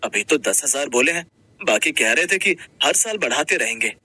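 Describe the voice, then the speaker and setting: A man playing a simple, humble working husband, gentle, earnest and a little hesitant, in a Hindi moral-story cartoon. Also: mid-range voice, animated, moderate pace, breathy edge, dry recording, heavily acted. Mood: relieved.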